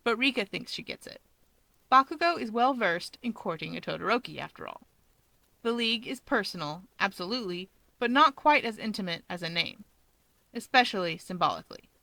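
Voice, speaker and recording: A woman reading a story aloud in an expressive narrating style. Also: medium pitch, fairly quick, vocal fry, dry recording. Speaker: fan fiction reader.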